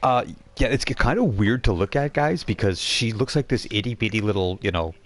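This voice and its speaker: A man acting as game master on a live stream, narrating informally and haltingly as he thinks aloud. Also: on the low side, lively intonation. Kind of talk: narrating a live online tabletop role-playing game. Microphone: voice chat microphone on a live stream.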